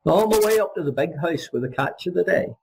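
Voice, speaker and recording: Man voicing a folksy gravelly fisherman, pitched up. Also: lively intonation, fairly quick, a little mellow, slight background noise, low-quality recording. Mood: chatty, matter-of-fact. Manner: folksy, colloquial dialect turns. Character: chatty small-boat fisherman, folksy and good-humoured.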